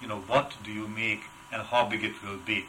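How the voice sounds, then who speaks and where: An older man with a heavy Hungarian accent speaks in a bright, forward voice, pitched a little low. He talks in a halting way, pausing often on filler sounds. A faint hum sits behind him. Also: level tone, low-quality recording. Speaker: wood carver, interview guest. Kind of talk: casual interview.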